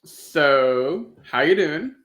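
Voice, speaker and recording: A man's voice: fairly high pitch, lively intonation, very slow, a bit bright, muffled recording. A man chatting casually as a co-host on a podcast.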